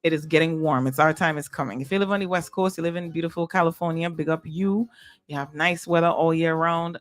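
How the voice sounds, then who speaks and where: A woman's voice: deep voice, steady tone, fairly quick. A woman from Trinidad with a Trinidadian accent, hosting a radio show in a chatty, casual way and talking straight to her listeners. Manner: chatty, talks to listeners directly with lots of "right?". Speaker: radio DJ host.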